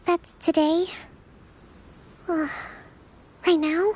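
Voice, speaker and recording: A woman playing a gentle, composed young island leader in a video game, speaking politely and with measure. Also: extremely high pitch, flat delivery, extremely slow, a little hiss or hum, heavily acted. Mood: weary. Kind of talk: video game character acting.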